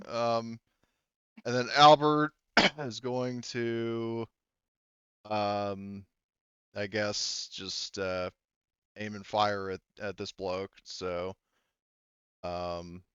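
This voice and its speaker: A man's voice: on the low side, a bit bright, clear, resonant tone, no room sound, muffled recording. A man acting as game master, casually narrating a tabletop roleplaying game, swearing and laughing readily.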